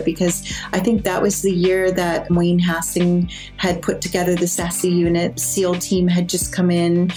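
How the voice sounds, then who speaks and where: A woman with a somewhat low voice tells her story in a warm, enthusiastic way as she looks back on how it began. Faint plucked guitar music plays under her voice. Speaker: interviewee, dive trip organizer. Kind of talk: reflective personal storytelling.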